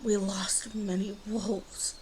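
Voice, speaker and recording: A woman voicing a guarded, grieving female werewolf in an ASMR roleplay, halting and brooding, often dropping to breathy half-whispers. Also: mid-range voice, flat delivery, darkish tone, slight background noise, lightly acted, rough recording. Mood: solemn, bitter. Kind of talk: ASMR roleplay monologue addressed to listener.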